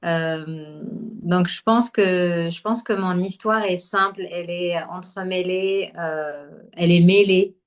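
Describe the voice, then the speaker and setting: Hesitant, low-voiced woman from Algeria. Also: steady tone, slow. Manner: hesitant, many fillers and restarts. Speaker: podcast guest, holistic nutrition coach. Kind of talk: casual conversational interview.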